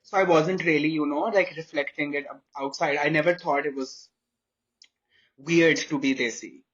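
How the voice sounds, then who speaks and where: A man's voice: on the high side, moderate pace. Rambling young man, slightly muffled audio. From Pakistan. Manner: relaxed, rambling, many fillers. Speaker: guest. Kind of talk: casual podcast chat.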